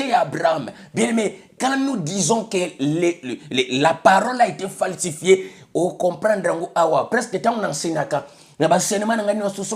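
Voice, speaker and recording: Congolese preacher man, emphatic sermon. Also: high voice. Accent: Congolese French. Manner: emphatic, repeats "bien aimé le Seigneur", switches between French and Lingala.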